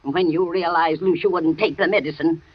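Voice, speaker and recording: Casting: an actress as a stern, accusing housekeeper, dry dull old radio sound. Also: female voice, fairly low pitch, fairly even intonation, fairly quick, no echo, natural voice. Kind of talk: old-time radio drama acting.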